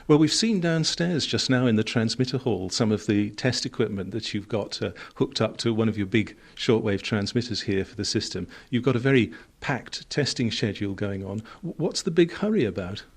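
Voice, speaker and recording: A man's voice: fairly low pitch, lively intonation, normal speed, hardly any pauses. A man interviewing guests on radio in a conversational, inquisitive and informal way.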